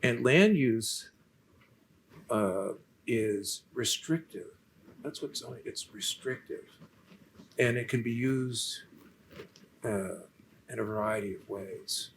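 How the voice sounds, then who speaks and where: A soft-spoken man, lecturing and answering questions in a halting way, with many restarts. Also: mid-range voice, a little hiss or hum. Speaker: featured lecturer in a Q&A. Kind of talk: unscripted lecture conversation.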